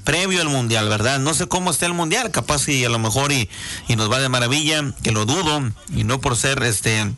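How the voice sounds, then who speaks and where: A man with a Mexican Spanish accent hosting a radio show, hoarse-voiced, chatty and folksy as he reads out listener greetings. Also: medium pitch, brisk, pushed and bright. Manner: chatty, folksy, many fillers. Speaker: radio host. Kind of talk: casual radio chat reading listener greetings.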